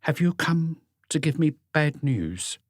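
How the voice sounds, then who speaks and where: A man voicing an anxious, grieving woman in her forties, gentle and hesitant, in an audiobook. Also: on the high side, very animated, slow, no room sound, clean studio sound, voice pushed up, heavily acted. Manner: hesitant, apologetic.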